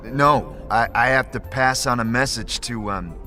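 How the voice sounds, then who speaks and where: A man voicing a plain-spoken wandering adventurer in an audio drama, awkward and halting. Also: medium pitch, sharp tone, soft background music. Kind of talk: audio drama character acting.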